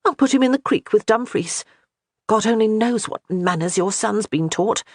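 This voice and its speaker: A woman voicing a flustered young noble wife, rattled and bossy, in a husky voice. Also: lively intonation, normal speed, no echo, studio quality, close to an everyday voice. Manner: rattled, bossy, high-strung.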